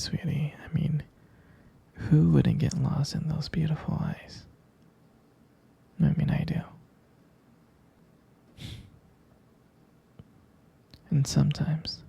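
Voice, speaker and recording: A man playing an affectionate, doting boyfriend in an intimate ASMR roleplay, speaking in a soft, gravelly whisper with tender hesitations. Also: exaggerated voice. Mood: adoring. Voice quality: soft, breathy whisper with low gravelly fry. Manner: slow, tender, hesitant with pet names. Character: affectionate, doting male vampire boyfriend soothing partner to sleep.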